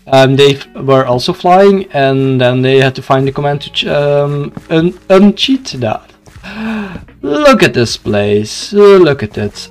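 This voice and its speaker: A young man from Belgium with a Dutch accent, casually commenting on a game for his live chat and often tripping over his words. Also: medium pitch. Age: young adult. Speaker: video game livestreamer.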